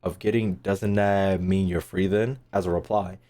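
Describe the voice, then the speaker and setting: A man's voice: deep voice, steady tone, normal speed, dark tone. A young man giving casual livestream game commentary, slangy and profane, with playful rants. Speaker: game streamer.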